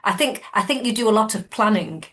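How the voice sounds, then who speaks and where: A woman at an ordinary middle pitch, co-hosting a relaxed conversation. She is encouraging and often doubles her words as she talks. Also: fairly quick. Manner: warmly encouraging, with repeated words. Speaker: co-host. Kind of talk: casual conversation.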